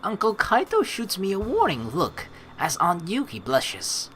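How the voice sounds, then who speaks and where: A woman's voice: mid-range voice, huge pitch swings, a little slow, a bit bright, slight background noise. A woman hosting a let's play, switching between reading game narration aloud and playful, teasing commentary.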